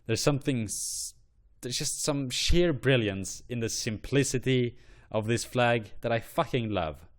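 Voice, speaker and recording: Male host, mid-pitched, profane and animated, rambles through restarts. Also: a little slow. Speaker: online show host. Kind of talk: ranting commentary on flags.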